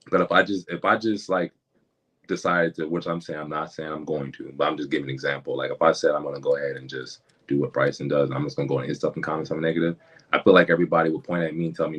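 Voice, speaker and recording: A young man, a rapper guest, talking candidly in a podcast conversation, halting and repeating words, with casual slang. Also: rumbling low, little pitch movement, husky.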